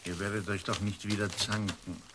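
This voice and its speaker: Casting: male actor as a dry, easygoing gambler for radio drama, lowish voice, noisy recording. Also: level tone, normal speed, lightly acted. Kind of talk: German radio play acting. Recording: clearly noisy, clicks.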